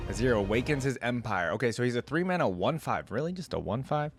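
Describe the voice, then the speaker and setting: A man gives casual reaction commentary as a video host. His voice is medium in pitch, and he thinks aloud in loose, informal phrasing. Music is clearly audible behind him. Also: lively intonation, quick pace.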